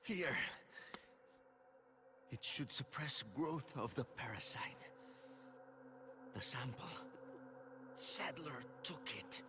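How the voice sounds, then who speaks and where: A man playing a dying, urgent researcher, weak and breathy, in a video game cutscene. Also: mid-range voice, slow, pauses often, noisy background, natural voice. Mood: pained, urgent. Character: wounded, dying male researcher, urgent and sincere.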